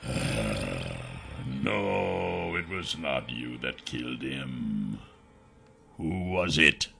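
A man's voice: rumbling low, sing-song, vocal fry, sharp tone, slightly rough, faint music behind, pitched below the performer's own voice, exaggerated voice. A man voicing a cursed elven king turned savage beast in a fantasy audiobook, throaty, grave and pained, forcing out ominous words. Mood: grave, weary. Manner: halting, forced, ominous words.

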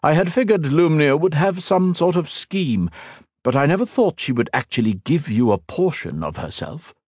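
A man's voice: on the high side, animated, normal speed, darkish tone, no room sound, clean studio sound, voice pushed up. A male narrator voicing a smug, cruel emperor villain who mocks and taunts his captive in a grandiose way. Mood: gloating.

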